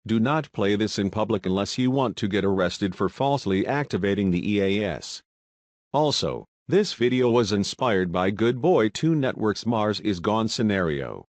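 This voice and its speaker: Low dry male voice reading a disclaimer, flat and even. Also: normal speed, no echo. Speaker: disclaimer narrator. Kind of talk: read-out content disclaimer.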